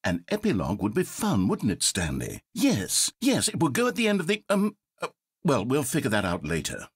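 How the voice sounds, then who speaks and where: Brief: self-important game narrator, theatrical, lively swings in pitch, bone-dry studio sound. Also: male voice, normal speed, breathy edge, no echo, full character voice. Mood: smug. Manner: theatrical, pompous, lively delivery. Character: pompous, self-important male narrator, easily rattled. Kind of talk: scripted video game narration.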